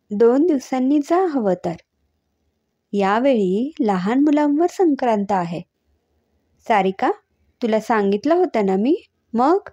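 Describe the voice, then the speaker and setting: A woman acts out a stern old matriarch in an audio story. The speech is slow and commanding, with a husky edge and a sarcastic, scolding manner. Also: sits high, mellow, pitched above the performer's own voice.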